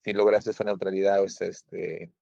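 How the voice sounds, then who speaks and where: A man from Peru hosting an interview, asking questions in a measured, affirming way. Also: low voice, little pitch movement, gravelly, warm tone, no room sound. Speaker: interviewer host. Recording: dull, dry sound.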